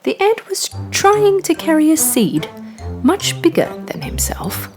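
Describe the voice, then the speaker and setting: A woman's voice: very high voice, wildly swooping pitch, measured pace, a little mellow. A woman narrating a scripted children's story as a storyteller. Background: background music.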